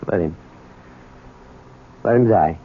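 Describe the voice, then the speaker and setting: An actor playing a bitter, unforgiving adult son in a radio drama, speaking in clipped, emotional, insistent phrases. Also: male voice, sits low, slow pace, husky, exaggerated voice. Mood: angry refusal. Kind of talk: 1970s radio drama acting. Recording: noisy, phone-like old radio recording.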